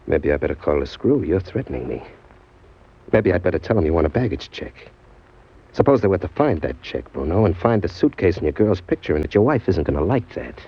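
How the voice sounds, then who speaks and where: A man's voice: sits low, sing-song, fairly quick, breathy edge, full character voice. A man playing a cocky, sly small-time crook in an old radio crime drama, talking and narrating in tough, wisecracking slang. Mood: taunting. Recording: dull vintage radio recording, music behind.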